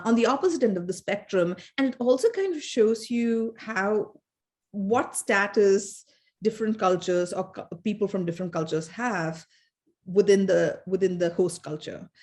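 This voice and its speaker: A woman's voice: mid-range voice, measured pace, a little mellow. A woman from India, co-hosting a casual academic discussion, thoughtful and hesitant with many fillers. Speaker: podcast co-host, fan scholar.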